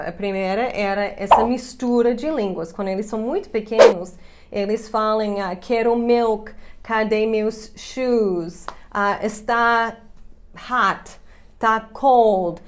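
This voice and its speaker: An American woman from Chicago, a YouTube host in her thirties, chatting casually in Portuguese with English slipped in, laughing easily. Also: medium pitch. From United States, Chicago. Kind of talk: casual chat on camera.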